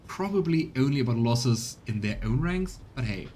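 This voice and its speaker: A man streaming casual let's play commentary, thinking aloud tentatively over faint low rumbling noise. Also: expressive, moderate pace, dark tone. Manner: musing, tentative.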